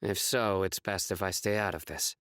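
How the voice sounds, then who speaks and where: An actor playing a stern, authoritative warrior in a video game, terse, blunt and serious. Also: male voice, low-pitched, normal speed, heavy background noise. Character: stern, authoritative male warrior with fierce presence.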